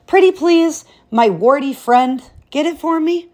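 Woman to play a spoiled fairy-tale princess, girlish high voice, emphatic and petulant. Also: animated, unhurried, darkish tone. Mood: pleading. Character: spoiled young princess, haughty and insistent.

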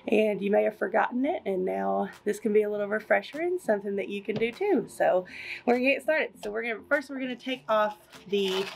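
Chatty young woman with a gravelly voice. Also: mid-range voice, slight background noise. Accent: Kentucky. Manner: chatty, upbeat, explains step by step. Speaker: homestead vlogger. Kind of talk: casual kitchen how-to. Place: home kitchen, indoors. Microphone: camera on the counter.

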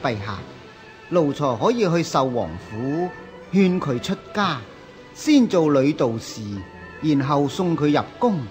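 An actor playing a fawning, scheming palace eunuch in a historical radio drama, obsequious and coaxing. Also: male voice, a little high, big pitch swings, measured pace, darkish tone, soft background music. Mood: sly, coaxing.

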